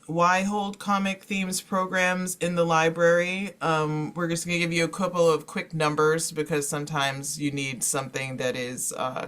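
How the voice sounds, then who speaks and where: A man's voice: on the high side, fairly bright tone. A man moderating an informal panel presentation, talking in a discursive way peppered with "you know".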